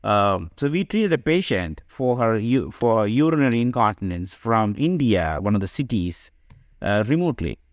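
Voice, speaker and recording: A man's voice: on the low side, very animated, normal speed, no echo, clean studio sound. A man giving conversational interview answers as a podcast guest, explaining things and often checking in with a "right?". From Sri Lanka. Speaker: podcast guest, digital health company co-founder.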